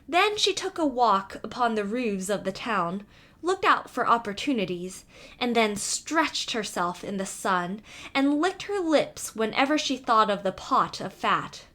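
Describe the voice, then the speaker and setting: A woman narrating a storybook fairy tale from a script in an expressive, measured manner. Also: fairly high pitch.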